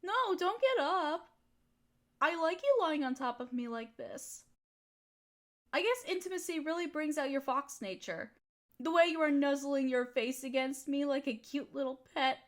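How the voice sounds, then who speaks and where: A woman's voice: high voice, animated, moderate pace. A woman playing an affectionate, teasing girlfriend in an intimate comfort roleplay, soothing and cooing as she pampers her partner. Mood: teasing, tender. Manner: cooing, soothing pet-talk. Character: affectionate, teasing, nurturing girlfriend of a fox spirit. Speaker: audio roleplay voice performer.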